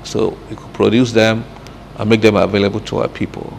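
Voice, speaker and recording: A man, a company executive, explaining things in a measured way during an interview. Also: lowish voice, level tone, gravelly. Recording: reverberant room with faint noise.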